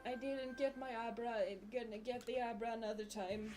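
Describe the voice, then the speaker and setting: A woman's voice: on the high side, steady tone, fairly quick, music in the background. A woman streamer giving casual gameplay commentary, chatty and muttering asides to herself.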